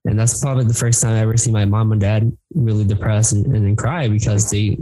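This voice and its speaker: A man's voice: on the low side, steady tone, fast, mellow, no echo, low-quality recording. A male podcast co-host asking eager questions, often repeating words as he talks. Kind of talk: casual interview talk.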